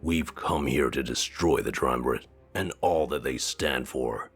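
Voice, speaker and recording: An actor playing a cold, ruthless commander who speaks in a measured, unyielding way, voiced for a visual novel game. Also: male voice, extremely low pitch, slightly rough, faint music behind, exaggerated voice. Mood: cold, stern.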